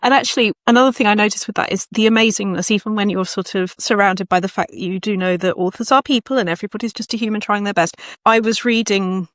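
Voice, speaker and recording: Female interviewer, average pitch, enthusiastic conversational delivery, dry recording. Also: fast, hardly any pauses, a bit bright, no echo. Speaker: podcast host and interviewer.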